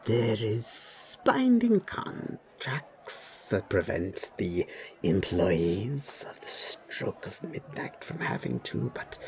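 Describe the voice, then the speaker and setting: Male actor as snake-man enforcer, breathy hissing whisper, low pitch, mock-polite, menacing, drawn-out delivery, audio drama. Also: full character voice. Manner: slow, drawn-out, mock-courteous. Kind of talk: audio roleplay villain.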